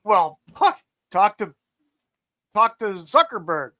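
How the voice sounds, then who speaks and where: A man's voice: sits high, very animated, slow pace, bright, forceful tone. A man hosting a casual political chat, ranting and swearing emphatically. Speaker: podcast host.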